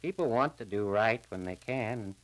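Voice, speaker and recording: An actor playing a thoughtful, plain-spoken small-town man in a 1940s radio serial, folksy and deliberate. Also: fairly low pitch, animated, lightly acted. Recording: crackly 1940s radio transcription disc.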